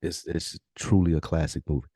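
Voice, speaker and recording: A man's voice: sits low, fairly even intonation, fairly quick, dark tone, husky, dry recording, studio quality. An American man hosting a podcast in a casual, slangy, laid-back way, swearing freely. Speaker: podcast host. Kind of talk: casual podcast chat and show intro.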